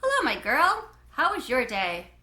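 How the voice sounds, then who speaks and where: A woman plays an upbeat storybook dad talking to his kids. The voice is high and bright, cheery and coaxing, with lively swoops in an echoey room. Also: unhurried, exaggerated voice.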